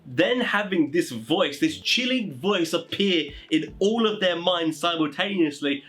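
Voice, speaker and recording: A man's voice: sits high, expressive, a little slow, fairly bright tone. A man giving informal gaming advice in a rambling, conversational way, often leaning on stock phrases. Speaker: tabletop gaming advice presenter. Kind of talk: informal explainer talk.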